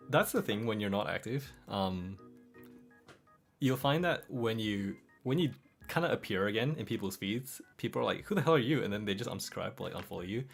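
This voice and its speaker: Young male streamer, low-pitched with vocal fry, mixed Aussie-American accent, laid-back chatter over soft music. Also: a little fast. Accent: mixed Australian and American. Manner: relaxed, laughs often, trails off softly. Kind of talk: casual late-night chat reading comments. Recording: faint background music.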